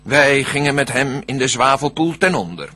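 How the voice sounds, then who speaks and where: An actor playing a cunning, smooth-tongued devil in a Dutch verse radio drama, declaiming deliberately with a husky voice. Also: male voice, medium pitch, animated, pushed and bright. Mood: slyly plotting. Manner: theatrical, scheming, deliberate declamation. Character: cunning, smooth-tongued male devil plotting to tempt mankind.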